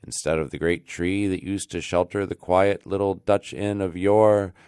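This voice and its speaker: An American man reads a classic tale as a storyteller, in an expressive, deliberate manner with dramatic emphasis. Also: deep voice, smooth, no echo, studio quality. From United States. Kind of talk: scripted narration of a classic tale.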